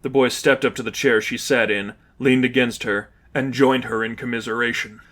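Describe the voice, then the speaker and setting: A man's voice: medium pitch, steady tone, normal speed, a bit bright, a little hiss or hum. A man reading fan fiction aloud as scripted story narration.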